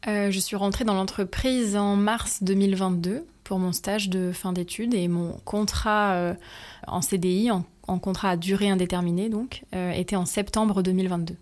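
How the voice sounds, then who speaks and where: A woman's voice: mid-range voice, steady tone, moderate pace, darkish tone. A woman in her twenties, from France, answering interview questions about her work with many hesitant fillers and careful explanations. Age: 20s. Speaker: interview guest, factory team manager.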